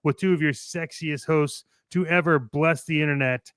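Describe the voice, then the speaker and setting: A man's voice: on the high side, moderate pace, a bit bright, clear, resonant tone, no echo, clean studio sound. A man co-hosting a toy collecting show, playful and enthusiastic, moving between ad reads and casual banter.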